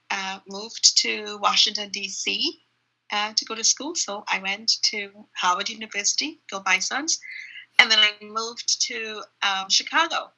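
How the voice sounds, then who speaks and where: A middle-aged woman, answering interview questions thoughtfully over a video call on a handheld phone, often pausing with ums. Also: mid-range voice, sharp tone, rough recording. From Trinidad. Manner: thoughtful, searching for words with frequent ums. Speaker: interview guest, runner. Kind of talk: casual reflective interview answers. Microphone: phone held in hand on a video call.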